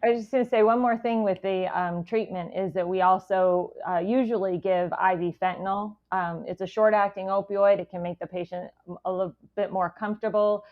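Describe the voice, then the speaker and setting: A woman's voice: medium pitch, fairly even intonation, fairly quick. An American woman explaining things casually and plainly as a medical expert guest on a podcast. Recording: thin phone-like line.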